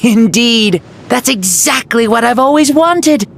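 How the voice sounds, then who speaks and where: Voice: mid-range voice, lively intonation, unhurried, vocal fry, fairly bright tone, a little hiss or hum. A proud ancient hero in a boy's body. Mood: grand. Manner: grandiose, declamatory. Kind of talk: video game voice acting. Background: game music.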